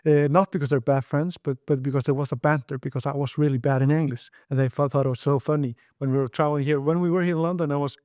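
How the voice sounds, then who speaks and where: A young Icelandic man in a podcast interview, speaking his second language in a fairly high, mellow voice. He talks earnestly, stumbling over repeated words and restarting sentences, and the sound is dry and close. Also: fairly even intonation, quick pace, few pauses, no echo. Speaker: guest entrepreneur.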